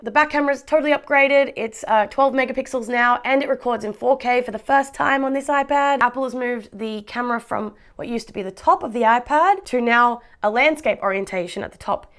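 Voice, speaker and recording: An Australian woman with a slightly hoarse voice from a cold, chatting enthusiastically through a tech product review and laughing often. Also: on the high side. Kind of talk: casual product review vlog.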